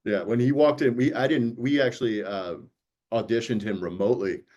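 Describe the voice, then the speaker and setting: A man's voice: medium pitch, fairly quick, darkish tone, lo-fi sound. A man from New York, an audiobook producer, chatting casually and telling stories by acting out bits of dialogue. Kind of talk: casual panel conversation.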